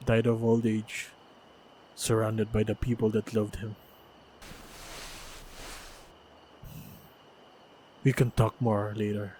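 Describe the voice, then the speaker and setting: A man playing a calm, caring monster hunter in a fantasy audio roleplay, speaking in a measured, gentle way. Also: fairly low pitch, slight background noise, close to an everyday voice, rough recording. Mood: reflective, wistful. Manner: measured, deliberate, gentle storytelling delivery.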